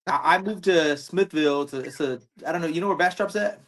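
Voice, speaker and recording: Laid-back podcast host, slangy. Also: male voice, a little high, fast talker, a bit bright. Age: 30s. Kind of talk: casual podcast chat.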